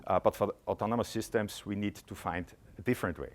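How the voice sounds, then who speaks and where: A man's voice: fairly low pitch, quick pace. A man with an Austrian accent presenting a conference talk, explaining earnestly with frequent filler sounds. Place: conference hall.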